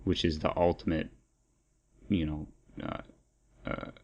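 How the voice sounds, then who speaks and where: A man's voice: rumbling low, fairly even intonation, unhurried, breathy edge, highs cut off. A man co-hosting a podcast in casual, opinionated discussion, rambling and repeating phrases as he thinks aloud. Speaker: podcast co-host.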